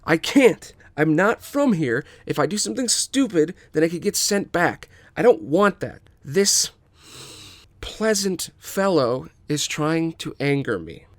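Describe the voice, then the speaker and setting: A man's voice: fairly high pitch, big pitch swings, a little slow, no echo, voice pushed up. Man reading a composed young androgynous outsider. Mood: exasperated. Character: guarded, composed twenty-one-year-old androgynous waterbender. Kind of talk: scripted table read.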